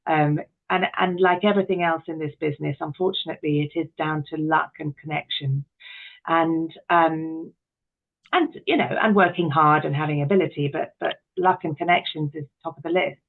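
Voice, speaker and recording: A middle-aged woman speaks as a guest in a relaxed interview, telling things frankly and with emphasis. Her voice has a noticeable creak. The sound is dulled and band-limited, like call audio. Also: low-pitched, moderate pace, phone-quality sound. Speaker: interview guest, actress, writer and director. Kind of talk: candid conversational interview.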